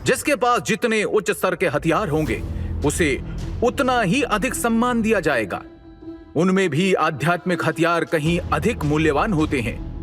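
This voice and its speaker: A man narrates an audio story in a scripted, dramatic style. His voice is a little higher than most men's, and music plays behind him. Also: animated, moderate pace, fairly bright tone. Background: background music.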